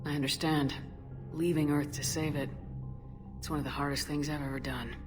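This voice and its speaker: A determined starship commander in a sci-fi game, voiced by a woman. Her voice is very low, controlled and direct, with music clearly behind it. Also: little pitch movement, normal speed, lightly acted. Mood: sympathetic, sober. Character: steady, determined human female starship commander. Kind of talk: scripted game dialogue.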